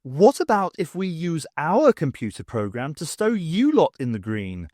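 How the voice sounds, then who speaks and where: A cool-headed adventure heroine, read by a man, bluffs with dry defiance. The pitch is a little high and very mobile. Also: wildly swooping pitch, moderate pace, a little mellow, no echo.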